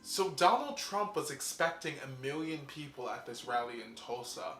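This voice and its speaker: A man hosting a show, delivering a fast, profane comedic monologue before interviewing a guest. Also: medium pitch, animated, sharp tone, roomy sound, a little hiss or hum. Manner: quick, profane, joking delivery. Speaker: host.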